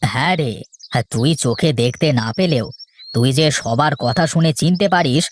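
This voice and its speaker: A man's voice: medium pitch, normal speed, natural voice. A man voicing a doubting but good-natured village farmer in a children's cartoon, talking in a colloquial, questioning way. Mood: friendly. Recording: faint noise with bird chirps.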